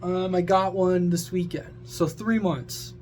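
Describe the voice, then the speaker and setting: High-pitched man ranting casually over humming noise. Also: steady tone, measured pace, a little mellow. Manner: blunt, sweary, rambling. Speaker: amateur radio vlogger. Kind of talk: casual opinionated rant to viewers.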